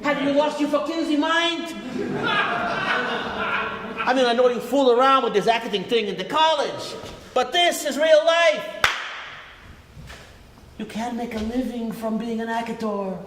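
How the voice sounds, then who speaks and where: A man's voice: very high-pitched, a little slow, long pauses. A man acting an exasperated working-class father scolding his grown son in a live stage play. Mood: outraged. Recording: echoey noisy theatre hall recording.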